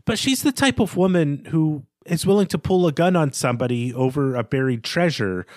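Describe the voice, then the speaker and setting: A man's voice: fairly high pitch, expressive, brisk, darkish tone, no echo, clean studio sound. A man co-hosting a book podcast, talking through his reading in a conversational way with repeated words and asides. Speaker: co-host of a book discussion podcast. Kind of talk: conversational literary discussion.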